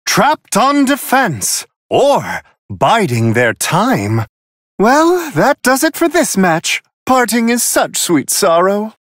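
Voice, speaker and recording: A high, fluting, genteel angel commentator. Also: male voice, huge pitch swings, slow pace, a bit bright, no echo, clean studio sound, exaggerated voice. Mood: grand, courteous. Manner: genteel, theatrical, courteous, wryly amused. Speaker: match commentator. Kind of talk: video game fight commentary.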